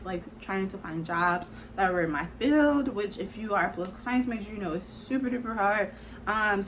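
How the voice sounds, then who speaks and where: A woman's voice: mid-range voice, fairly bright tone, slight background noise. A woman in her twenties talking casually to camera in a chatty, rambling way, filling her speech with "like" and "kinda". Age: 20s. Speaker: vlogger.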